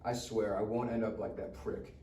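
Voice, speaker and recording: A man's voice: fairly low pitch, flat delivery, moderate pace, mellow, natural voice. A man playing a cocky, bitter, foul-mouthed rich schoolboy with a sarcastic manner in a live table read. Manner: sarcastic, wisecracking. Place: live reading event in a room. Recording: echoey room, distant mic, faint noise.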